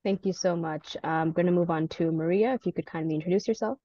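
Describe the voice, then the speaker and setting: A woman's voice: fairly low pitch, fast talker, warm tone, no echo. A woman facilitating an online student panel, speaking informally and politely with a self-deprecating manner. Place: online video meeting. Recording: dull, muffled online call audio.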